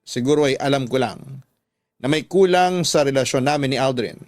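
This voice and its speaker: A man's voice: mid-range voice, normal speed, no echo. A man with a Filipino accent reading a confessional love story as scripted dramatic radio narration. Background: background music.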